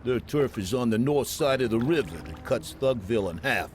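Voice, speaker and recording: A man acting a world-weary shopkeeper at an average male pitch. He talks in a streetwise, gruffly friendly way, as in a game cutscene, over faint music. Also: expressive, normal speed, lightly acted. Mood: amused, warning. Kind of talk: video game cutscene dialogue.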